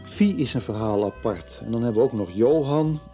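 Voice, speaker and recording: A man's voice: mid-range voice, lively intonation, fairly quick, mellow, soft background music, natural voice. A man playing a stubborn, calculating husband and businessman in a radio soap, terse and defensive. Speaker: actor in a radio serial. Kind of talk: 1990s Dutch radio soap acting.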